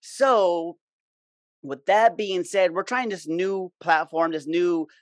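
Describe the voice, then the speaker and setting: A man's voice: high voice, slow pace, dry recording, clean studio sound. A man hosting a casual podcast in an effusive, informal, slangy way. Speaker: podcast host.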